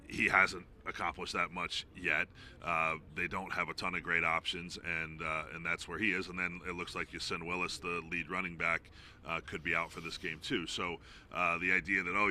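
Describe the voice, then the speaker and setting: A man's voice: low-pitched, bright, forceful tone, a little hiss or hum. A man who works as a play-by-play announcer, giving informal, discursive sports analysis as an interview guest.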